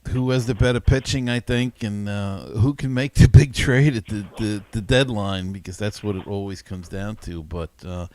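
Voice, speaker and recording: A man's voice: fairly low pitch, steady tone, moderate pace, hardly any pauses, darkish tone. A man hosting a sports podcast in a casual, conversational and somewhat hesitant way. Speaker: podcast host.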